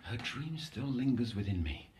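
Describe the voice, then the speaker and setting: An actor playing a brooding, obsessive psychiatric counsellor in an audio horror drama, theatrical and ornate, slipping into whispers and eerily tender. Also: male voice, mid-range voice, sing-song, normal speed, a little mellow, slight background noise, natural voice.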